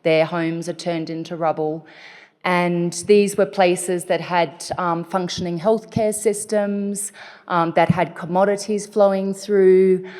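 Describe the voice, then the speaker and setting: A woman moderating a conference session, speaking slowly and haltingly with many fillers before introducing the next speaker. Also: lowish voice, fairly even intonation, darkish tone. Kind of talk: spoken introduction. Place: large conference hall. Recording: echoey conference hall.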